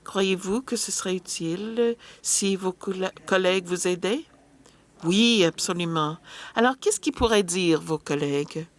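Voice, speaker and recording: Slow, low-voiced woman interpreting hesitantly. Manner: hesitant, frequent filler sounds and restarts. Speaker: simultaneous interpreter at a panel. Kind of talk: live interpretation of a panel discussion.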